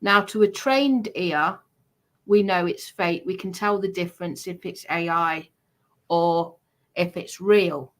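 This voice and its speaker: Slow, deliberate woman chatting. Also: lowish voice. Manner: deliberate, halting, repeats phrases for emphasis. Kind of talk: casual commentary.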